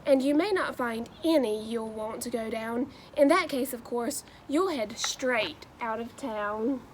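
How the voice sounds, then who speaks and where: A woman, reading aloud to children in a deliberate, sing-song storybook way. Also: fairly high pitch, a little hiss or hum, poor audio. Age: 20s. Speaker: new education graduate reading a children's book.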